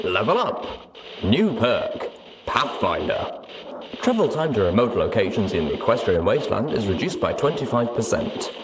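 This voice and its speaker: A man narrating a fantasy audiobook in an expressive, scripted reading style. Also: lowish voice, normal speed, background noise. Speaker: audiobook narrator and show host.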